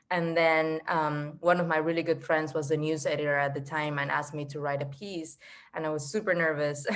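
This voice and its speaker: A woman in her twenties from Argentina, telling the story of her career in a steady, fluent way over a video call. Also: low voice. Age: 20s. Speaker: journalist guest. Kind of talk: casual career talk.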